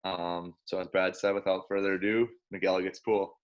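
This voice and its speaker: Low-voiced young man, slightly dull sound. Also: little pitch movement, moderate pace. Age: early 20s. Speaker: college basketball player, guest. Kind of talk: casual chat and reading a children's storybook aloud.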